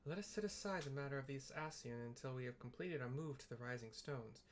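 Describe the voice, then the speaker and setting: A man voicing an earnest woman who leads a secret order in a fantasy game, speaking in measured, formal sentences. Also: medium pitch, slight background noise, close to an everyday voice. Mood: solemn, confiding.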